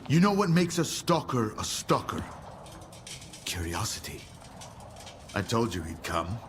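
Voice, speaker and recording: Scheming ringleader, swooping mid-pitched voice, wry, confident, game drama, noisy background. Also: male voice, very animated, a little slow. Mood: cool, knowing. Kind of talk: dramatic game cutscene acting. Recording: noisy ambience with gunfire.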